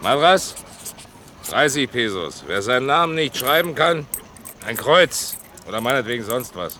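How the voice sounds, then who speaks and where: Brusque paymaster, forceful, swinging pitch, calling out, faint street noise, radio play. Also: male voice, very animated, normal speed. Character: brusque, impatient paymaster calling out names and wages. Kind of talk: German radio play acting. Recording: outdoor street noise behind.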